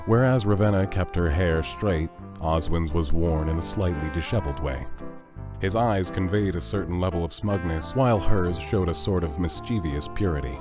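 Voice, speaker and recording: A man's voice: sits low, normal speed. A man reading scripted narration for an audio drama as its narrator. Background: music.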